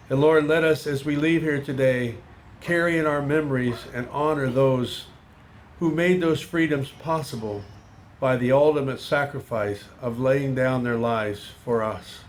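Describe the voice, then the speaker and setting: A man's voice: mid-range voice, measured pace. Man leading a solemn public prayer. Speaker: prayer leader. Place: outdoor veterans' memorial ceremony. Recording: outdoor ceremony, faint background noise.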